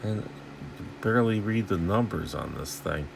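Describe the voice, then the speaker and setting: A man's voice: low-pitched, lively intonation, normal speed, creaky, noisy background. A man giving casual, rambling hands-on repair commentary, thinking aloud in informal, salty language. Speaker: host of a radio repair video. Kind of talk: casual hands-on commentary while inspecting equipment.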